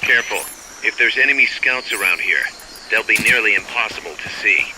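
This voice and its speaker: A man's voice: lowish voice, brisk, background noise, close to an everyday voice. An actor playing a serious, watchful male military adviser in a video game, giving urgent briefings. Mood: urgent warning.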